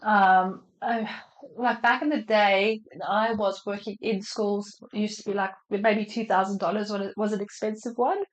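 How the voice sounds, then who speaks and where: A woman's voice: mid-range voice, steady tone, normal speed, rough recording. Woman with a flu giving marketing advice. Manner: chatty, loose, lots of fillers and restarts. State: slightly unwell with a flu. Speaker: marketing expert answering audience questions. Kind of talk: casual live Q&A on a call. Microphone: video call.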